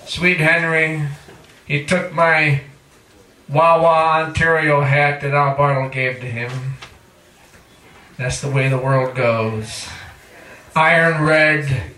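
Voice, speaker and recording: Guy doing dramatic chanty poetry reading, really sharp bright voice, slow, kinda far from the mic in an echoey cafe. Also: on the high side, fairly even intonation. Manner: dramatic chanting delivery with drawn-out phrases and cries. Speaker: poet reading own work. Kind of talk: theatrical spoken-word poetry performance. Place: indoor live reading at a cafe. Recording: distant mic in echoey room.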